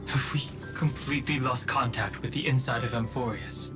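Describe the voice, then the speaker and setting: An actor playing a serious, loyal young man in a video game, speaking in an earnest, measured way. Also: medium pitch, steady tone, lightly acted. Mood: grave, worried. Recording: loud music under the voice.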